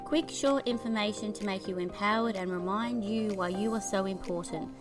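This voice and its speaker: An Australian woman delivers a scripted, upbeat podcast opening. Her tone is encouraging and direct. A music bed plays clearly under her voice. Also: mid-range voice, normal speed. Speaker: podcast host.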